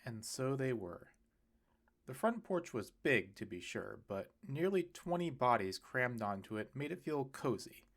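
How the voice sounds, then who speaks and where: A man's voice: medium pitch, a little slow. A man narrating a serialized novel aloud, lifting his voice for the characters' lines. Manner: lively reading with bright, raised voices for quoted characters. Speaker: audiobook narrator.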